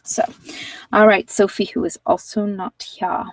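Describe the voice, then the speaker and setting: A woman's voice: mid-range voice, little pitch movement, a little slow, dry recording, recorded from a distance, poor audio. A woman talking in a casual, conversational way as she hosts an informal tarot card reading. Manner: conversational, informal, anecdotal asides.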